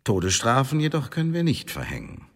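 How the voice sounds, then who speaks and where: An actor in a German radio drama playing a cold, mocking secret service tribunal chief, officious and commanding. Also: male voice, mid-range voice, huge pitch swings, a little fast. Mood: stern, emphatic. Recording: echoey hall, clear background noise.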